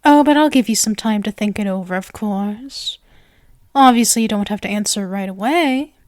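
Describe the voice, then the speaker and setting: A woman's voice: medium pitch, dry recording, clean studio sound, close to an everyday voice. A woman voicing a sweet, possessive yandere witch in an ASMR roleplay, coy and rambling, speaking intimately to the listener. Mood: playful. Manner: coy, halting, rambling, self-assured. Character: sweet, clingy, possessive yandere witch, playfully smug and nervous.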